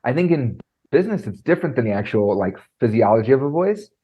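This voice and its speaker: A man coaching on presentation skills, talking in an animated way and filling his speech with "like" and "right?"; he has a trained high singing voice. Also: fast, husky, lo-fi sound. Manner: animated, lots of fillers like "like" and "right?". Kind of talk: conversational podcast interview.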